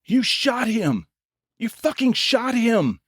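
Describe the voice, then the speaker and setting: A man's voice: extremely high pitch, big pitch swings, slow, slightly rough, no echo, clean studio sound, pitched above the performer's own voice, full character voice. A man voicing an enraged, vengeful man in an audio story, screaming belligerent threats. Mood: screaming rage.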